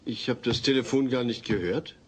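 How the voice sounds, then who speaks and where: A medium-pitched voice over faint noise. A man plays a mild suburban dad in a sitcom audio drama, reasonable, hesitant and well-meaning. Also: moderate pace, natural voice. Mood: patient. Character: patient, well-meaning suburban family father. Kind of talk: German dubbed sitcom audio play. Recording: noisy old cassette transfer.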